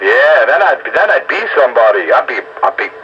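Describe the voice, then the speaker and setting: A man's voice: medium pitch, expressive, a little fast. A man in a casual radio chat as an amateur operator, often repeating his words for emphasis. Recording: dull lo-fi radio signal with static.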